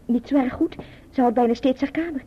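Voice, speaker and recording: A woman's voice: high voice, fairly quick, a little hiss or hum, close to an everyday voice. A woman playing a polite, deferential young servant girl in a radio play. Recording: dull, muffled radio recording.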